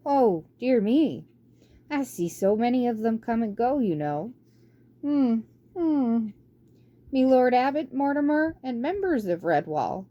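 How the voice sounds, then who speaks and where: A woman doing a squeaky, whispery old mouse scholar. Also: big pitch swings, slow, dull audio. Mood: doddering. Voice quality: squeaky, barely above a whisper. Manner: doddering, muttering hmm and tutting. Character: ancient male mouse scholar, fussy and forgetful. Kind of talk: audiobook character voice.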